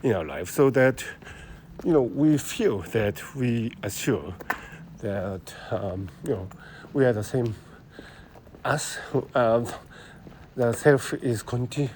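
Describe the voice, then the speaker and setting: A man with a Japanese accent thinking aloud in a halting monologue while walking city streets, speaking into a phone, full of fillers and restarts. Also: mid-range voice, expressive, a little mellow, slight background noise. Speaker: podcast host. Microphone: phone held close.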